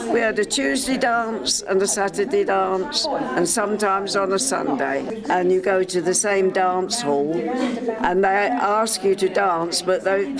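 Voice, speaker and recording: An older woman, reminiscing casually. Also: mid-range voice. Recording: room with clear background chatter.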